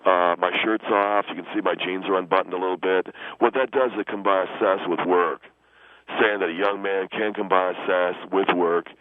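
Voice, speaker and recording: A smug young self-styled stud, played by a man for call-in radio comedy. He has a low voice and brags in complete earnest, stumbling over his uhs. The sound is muffled and lo-fi, like a radio phone-in. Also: fairly even intonation, moderate pace, no room sound, close to an everyday voice. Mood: self-satisfied. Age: young adult. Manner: boastful, earnest, hesitant with many uhs. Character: vain, cocky young ladies' man, self-styled beach hunk.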